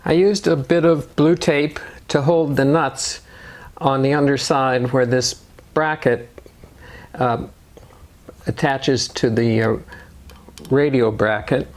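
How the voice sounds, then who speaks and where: Slow-paced man giving how-to instructions. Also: medium pitch, steady tone. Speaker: motorcycle DIY video host. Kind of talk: step-by-step instructional narration.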